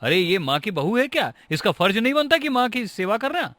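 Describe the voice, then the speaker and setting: Man acting an angry, sharp-voiced husband. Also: medium pitch, animated, fairly quick. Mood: angry, complaining. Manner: sarcastic, scolding. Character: angry, accusing young husband and son-in-law.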